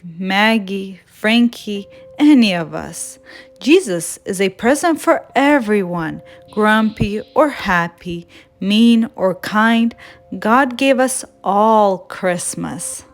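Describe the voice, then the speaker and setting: A woman voicing a patient, loving mother bear who explains things gently in a storybook. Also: medium pitch, expressive, slow, faint music behind.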